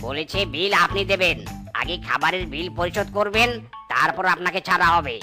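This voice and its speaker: Woman as a low-pitched polite cartoon waiter. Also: brisk, natural voice. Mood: firm. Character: polite but firm restaurant waiter in animal cartoon. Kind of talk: cartoon voice acting in a comic folk tale. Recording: cartoon music behind the voice.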